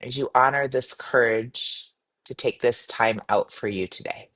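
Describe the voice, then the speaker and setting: Female meditation guide, mid-to-low voice for a woman, soothing and unhurried, gentle instructions with spacious pauses. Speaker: meditation guide, yoga teacher.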